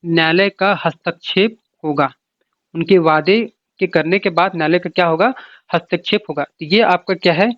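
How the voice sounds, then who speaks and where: A man's voice: fairly high pitch, steady tone, normal speed, a bit bright, no echo. A man giving an informal explanatory lecture as an exam coach, repeating points and asking then answering his own questions. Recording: dull lo-fi sound.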